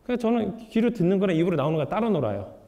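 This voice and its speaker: A man giving an author's lecture to an audience, putting rhetorical questions to the room in a lively way. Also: high voice, rapid-fire. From South Korea. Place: lecture hall. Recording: reverberant lecture hall.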